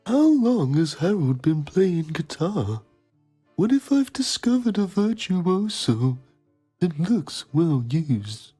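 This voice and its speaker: A woman's voice: on the low side, very animated, slow, dark tone, breathy edge, faint music behind, exaggerated voice. A woman playing a pretentious, self-admiring male journalist, narrating a mock documentary in a theatrical manner. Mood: mock poignancy. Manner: pompous, theatrical.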